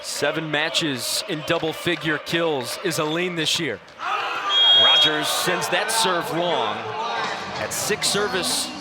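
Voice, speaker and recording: A man calling live volleyball play-by-play as a TV announcer, getting excitable on big plays in an indoor arena. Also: a little high. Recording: loud crowd and arena noise. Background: crowd, bouncing balls, music.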